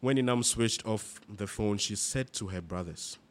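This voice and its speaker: A man's voice: lowish voice, normal speed. A man reading literary fiction aloud, narration mixed with characters' dialogue. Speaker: reader.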